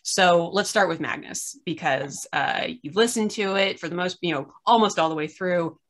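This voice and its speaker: A woman's voice: fairly low pitch, moderate pace, a bit bright. A middle-aged woman hosting a casual, unscripted chat over a video call, rambling with self-deprecating humour. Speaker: host. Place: room at home, video call.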